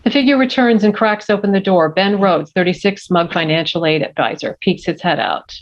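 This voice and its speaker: A woman with a slightly low voice narrates a script, reading out stage directions. The sound is a bit dull and lo-fi. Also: moderate pace. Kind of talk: scripted narration at table read. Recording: dull, lo-fi audio.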